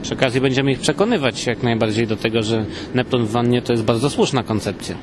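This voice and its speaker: A man's voice: medium pitch, quick pace, background noise. A man co-hosting a comedy podcast with emphatic, mock-serious banter.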